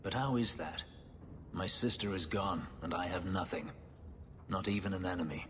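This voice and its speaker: A man playing a brooding, bitter freed slave warrior in a video game, speaking in a solemn, measured way. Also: low voice, fairly bright tone. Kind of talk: dramatic video game acting. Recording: long hall reverb, background noise.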